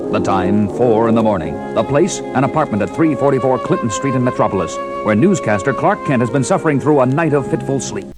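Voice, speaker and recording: Man as portentous, animated superhero adventure narrator. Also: mid-range voice, a little fast, few pauses, natural voice. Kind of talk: old audio drama narration. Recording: dramatic music under the voice.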